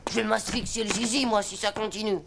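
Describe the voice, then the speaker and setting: A woman playing an excitable, sulky little schoolboy in an animated series, with a slangy, grumbling, dramatic delivery. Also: medium pitch, fast, gravelly, noisy background, natural voice. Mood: indignant, sulky.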